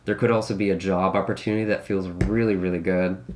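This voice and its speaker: A man giving a casual tarot reading, slightly raspy from a recent illness, musing and thinking aloud with many hedges and restarts. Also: low-pitched, fairly even intonation, normal speed, darkish tone, slight background noise. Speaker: tarot reader.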